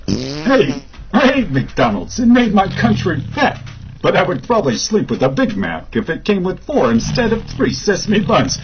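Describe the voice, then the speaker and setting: A man's voice: high voice, wildly swooping pitch, moderate pace, crowd noise, heavily acted. An actor voicing a crude male comedian with punchy joke delivery, as an app's voice message. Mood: brash. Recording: dull distant noisy recording.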